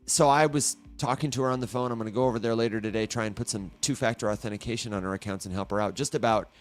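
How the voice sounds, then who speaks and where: A man's voice: fairly low pitch, steady tone, rapid-fire, faint music behind. A man co-hosting a morning podcast in casual chat, conversational, asking his co-host questions.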